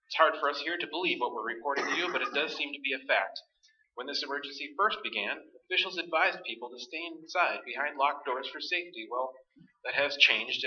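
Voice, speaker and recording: A man's voice: fairly high pitch, sharp tone, muffled recording. A man playing an earnest, formal 1960s television newscaster delivering emergency bulletins in a staged radio play. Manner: urgent, formal announcing. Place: live stage performance, mic at some distance.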